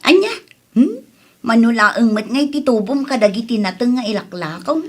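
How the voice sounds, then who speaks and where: A woman's voice: fairly high pitch, lively intonation, moderate pace. A woman playing a pushy, boastful neighbour who coaxes a friend in an Ilocano radio comedy drama, with a Filipino Ilocano accent. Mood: chatty, nosy.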